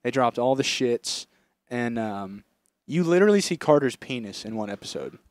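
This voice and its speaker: A man's voice: mid-range voice, expressive, moderate pace, dry recording. A young man in casual comedic podcast chat, telling crude anecdotes full of swearing into a close microphone. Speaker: podcast co-host.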